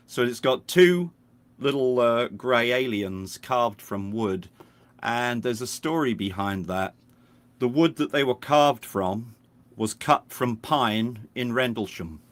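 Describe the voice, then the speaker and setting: A man from the United Kingdom talks as a guest on a video call, telling his stories in a relaxed, unhurried way. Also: mid-range voice. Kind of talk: casual interview chat. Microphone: webcam on a video call.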